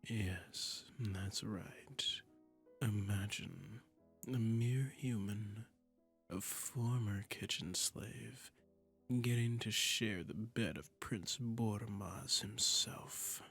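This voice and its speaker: A man's voice: on the low side, very slow, vocal fry, a bit bright, breathy edge, soft background music, heavily acted. A man playing a seductive, commanding demon prince in a romantic roleplay audio drama, speaking in a silky, imperious way and often dropping into a murmur. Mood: sultry. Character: seductive, commanding male demon prince claiming a captive singer.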